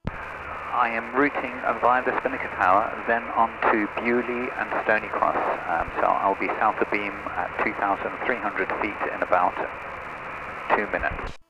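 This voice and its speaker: A man speaking as a pilot on a headset, in a measured, polite, procedural way. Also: on the low side, flat delivery, lo-fi sound. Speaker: pilot, flight vlogger. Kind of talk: radio calls and casual cockpit commentary. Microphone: aviation headset. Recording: noisy light aircraft cockpit. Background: engine drone of a light aircraft.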